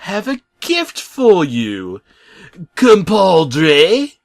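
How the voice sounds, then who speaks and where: A man voicing a cheerful cartoon builder turned raving fanatic, with a put-on British accent, loud and drawn-out. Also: high voice, huge pitch swings, gravelly, pitched above the performer's own voice, exaggerated voice. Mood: sly.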